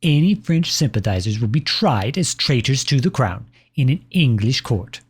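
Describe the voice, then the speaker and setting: A man's voice: medium pitch, big pitch swings, moderate pace, husky. A man playing a pompous, jilted personification of Britain in a comedic audio roleplay, reading formal letters with petulant indignation. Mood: stern, indignant.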